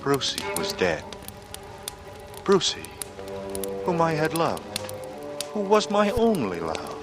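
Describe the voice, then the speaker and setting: A man's voice: on the high side, huge pitch swings, takes it slowly, heavily acted. An actor playing a haunted, intense man in a 1940s radio thriller, brooding and dramatic in manner. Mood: grieving. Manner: brooding, dramatic, swinging into anguished outbursts. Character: haunted, intense male lover and war veteran. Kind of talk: 1940s radio drama acting with first-person narration. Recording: vintage radio recording with music.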